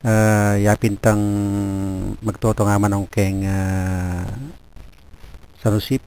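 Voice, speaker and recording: A man with a Filipino accent chatting casually and haltingly as an amateur radio operator over a noisy link. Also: fairly low pitch, flat delivery, a little mellow. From Philippines, Pampanga region. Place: office.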